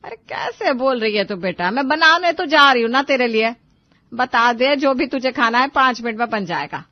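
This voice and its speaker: Weary middle-aged mother played by a female narrator, cartoon family story. Placating, plaintive, slightly high voice. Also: animated, moderate pace, no room sound, dull audio, lightly acted. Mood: coaxing. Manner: coaxing, plaintive.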